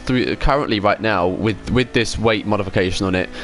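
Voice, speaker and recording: Male game streamer, lowish voice, casual rambling commentary, self-correcting, conversational. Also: fairly quick, soft background music. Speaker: livestream host.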